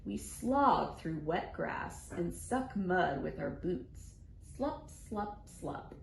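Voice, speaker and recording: Casting: female children's storytime reader, unhurried and sing-song, playful with sound words, recorded in an echoey room. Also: on the low side, big pitch swings, creaky. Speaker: children's librarian, storytime host. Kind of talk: expressive picture-book read-aloud for young children, with rhymes. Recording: roomy echo, faint background noise.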